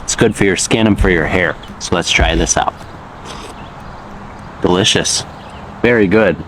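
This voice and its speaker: A man's voice: low voice, unhurried, fairly bright tone, slight background noise. A man eating and chatting in a casual vlog for English learners, explaining words simply and often calling viewers "guys". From United States. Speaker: English teacher vlogger.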